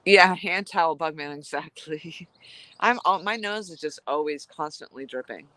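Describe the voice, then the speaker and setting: A woman's voice: sits low, moderate pace, bright, forceful tone. Chatty nasal woman vlogging outdoors. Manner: chatty, rambling, laughs easily. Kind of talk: casual chat while fishing. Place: outdoors at a lakeside camp.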